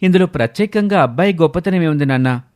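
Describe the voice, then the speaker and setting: A man's voice: a little high, lively intonation, fast, darkish tone, pitched above the performer's own voice, natural voice. A man playing a dutiful, questioning young student son, earnest and respectful, in an audio story. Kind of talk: scripted audio story dialogue.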